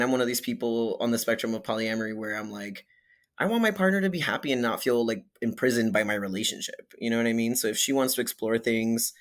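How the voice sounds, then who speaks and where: A young man from Miami, a podcast guest, chatting candidly with lots of fillers and quick laughs. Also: mid-range voice, a bit bright. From Miami, Florida. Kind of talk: casual conversational interview.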